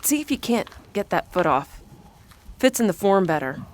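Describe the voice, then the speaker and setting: A woman's voice: mid-range voice, sing-song, normal speed, slight background noise, close to an everyday voice. A woman playing a folksy, matter-of-fact killer who mentors a rookie in an audio drama. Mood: calm, folksy.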